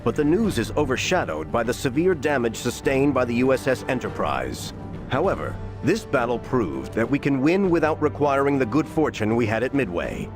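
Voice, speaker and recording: A man narrating a World War II game briefing in a formal, measured, emphatic manner. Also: mid-range voice, lively intonation, close to an everyday voice. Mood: grave, formal. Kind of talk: scripted wartime campaign narration. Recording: loud music over the voice.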